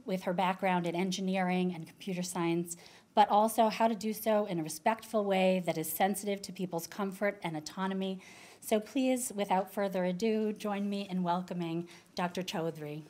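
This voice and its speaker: A woman's voice: medium pitch, fairly even intonation, normal speed, a little mellow. Woman formally introducing a speaker. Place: lecture hall at a podium. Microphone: podium microphone.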